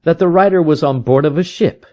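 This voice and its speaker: A man's voice: a little high, a little fast, mellow, natural voice. A man reading a storybook detective who is keen, confident and methodical, reasoning aloud with emphatic, probing questions.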